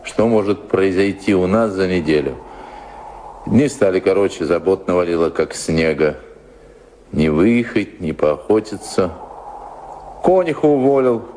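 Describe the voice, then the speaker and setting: An actor playing a weary, bored lord of a manor, languid and musing, in an audio drama. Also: male voice, sits low, animated, unhurried, long pauses, warm tone. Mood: bored, dismissive. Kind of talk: theatrical audio drama acting. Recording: very noisy old radio play recording.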